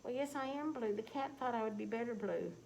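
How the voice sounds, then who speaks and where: A woman's voice: on the high side, a little fast, gravelly, a little mellow, lo-fi sound. A woman doing a polite timid turkey, Tom Turkey. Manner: polite and earnest, a bit timid. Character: eager, unsure young male turkey.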